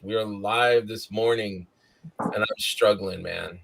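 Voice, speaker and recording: A man's voice: on the low side, steady tone, slow, gravelly, fairly bright tone. A man hosting a weekly live show in casual chat, with a scratchy morning voice, rambling, tired but upbeat.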